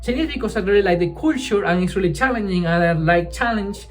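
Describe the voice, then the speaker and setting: A woman whose first language is Spanish, chatting casually to camera as a language-learning vlogger, halting and restarting often and tagging sentences with "right?". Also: medium pitch, expressive, soft background music.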